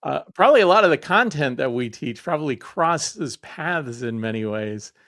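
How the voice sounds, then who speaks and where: A man's voice: fairly high pitch, animated, moderate pace, fairly bright tone. A man hosting a business podcast, chatting casually and introducing his guest, with frequent hesitations. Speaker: podcast host. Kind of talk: interview conversation.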